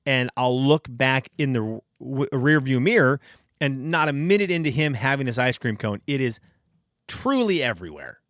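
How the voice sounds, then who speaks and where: A man's voice: medium pitch, animated, normal speed, fairly bright tone, no room sound, clean studio sound. A man in his thirties from Venezuela, hosting a casual solo podcast and telling rambling, self-deprecating stories. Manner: rambling storyteller, self-deprecating, frequent restarts. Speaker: podcast host.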